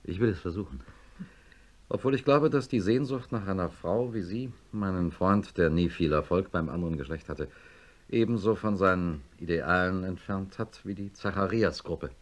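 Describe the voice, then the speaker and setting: An actor playing a middle-aged neurosurgeon professor in a radio drama, measured, dry and formal. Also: male voice, low-pitched. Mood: guarded. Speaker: narrator and lead actor. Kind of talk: German radio drama acting.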